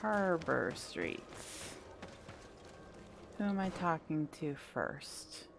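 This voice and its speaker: Very low, animated woman gamer. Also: extremely slow, gravelly, noisy background. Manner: thinking aloud, mild exclamations. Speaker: video game streamer. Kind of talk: casual playthrough commentary.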